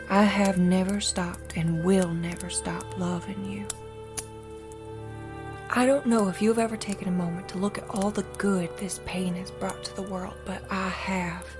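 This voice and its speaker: An actress playing a devoted, forgiving wife from beyond death, tender and earnest in an audio drama. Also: female voice, medium pitch, natural voice. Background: music.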